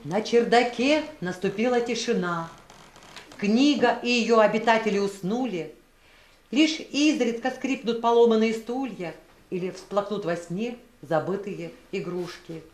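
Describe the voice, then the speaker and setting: A woman's voice: a little high, unhurried, darkish tone. A woman narrating a scripted fairy tale as a storyteller. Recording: roomy echo.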